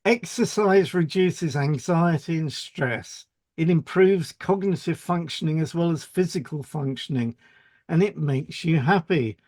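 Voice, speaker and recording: A man's voice: a little high, measured pace, darkish tone, dry recording. A man co-hosting a podcast, reading explanatory script and introducing topics with short conversational links. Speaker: podcast co-host, hypnotherapist.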